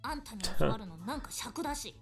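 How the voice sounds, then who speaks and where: A woman playing a brash, rough-talking tomboy schoolgirl in an anime-style visual novel, blunt and slangy. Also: on the high side, very animated, racing. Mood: cheeky. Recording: game music behind the voice.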